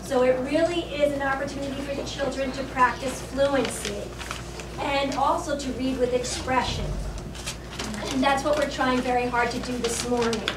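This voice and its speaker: High-voiced woman teacher in an echoey room. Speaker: teacher introducing a children's reading performance. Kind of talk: informal welcome speech to parents. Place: crowded classroom, recorded from the audience. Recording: distant, echoey, noisy room recording.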